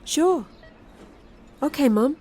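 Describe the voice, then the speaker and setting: Woman acting as worried daughter, gentle, mellow, slightly high voice, audio drama dialogue. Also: lively intonation, takes it slowly, slight background noise. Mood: anxious, pleading. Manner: gentle, concerned. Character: worried, gentle grown daughter of dying mother.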